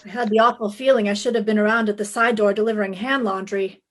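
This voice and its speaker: A woman's voice: mid-range voice, steady tone, fast, fairly bright tone, a little hiss or hum, natural voice. A woman playing a brash, wisecracking young private detective in a retro radio drama, wry and self-assured. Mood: wry narrating.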